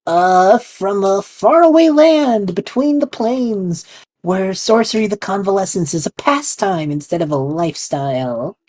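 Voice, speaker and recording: A woman's voice: mid-range voice, lively intonation, unhurried, darkish tone. A woman playing a nervous, hesitant young man who is out of his depth. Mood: flustered. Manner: unsure, flustered, hesitant. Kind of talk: fan audio drama acting.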